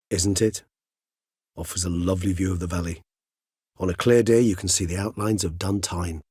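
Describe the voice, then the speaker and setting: An actor playing a courteous, erudite, world-weary centuries-old vampire in a video game, speaking in measured, eloquent, formal phrases. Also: male voice, lowish voice, breathy edge, dry recording, clean studio sound. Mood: measured, scholarly. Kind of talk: video game character dialogue.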